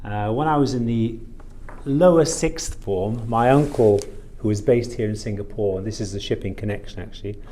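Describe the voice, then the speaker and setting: A man's voice: lowish voice, normal speed, warm tone, a little hiss or hum. A man in his sixties from Wales, telling stories conversationally as a podcast guest, with courteous, old-fashioned phrasing. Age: 60s. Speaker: podcast guest, shipping consultant. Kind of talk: conversational storytelling.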